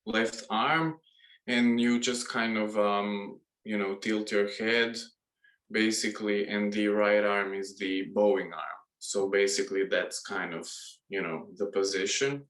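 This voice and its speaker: A Croatian-accented man in his twenties talks about playing violin over a video call. His voice sits fairly low and he speaks very slowly. He searches for words, filling the gaps with ums and uhs. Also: steady tone, fairly bright tone, poor audio. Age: 20s. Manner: hesitant, many ums and uhs. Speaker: violinist, interview guest. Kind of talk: casual video call interview.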